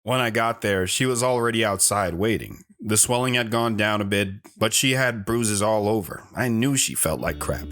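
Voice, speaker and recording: A man's voice: lowish voice, moderate pace, hardly any pauses, a bit bright. Man narrating a story. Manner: plain storytelling, looking back. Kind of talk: scripted narration.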